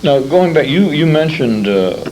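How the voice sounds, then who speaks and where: A man conducting a conversational interview, putting his questions carefully and a little haltingly. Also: on the high side, lively intonation, darkish tone. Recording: noisy amateur convention interview tape.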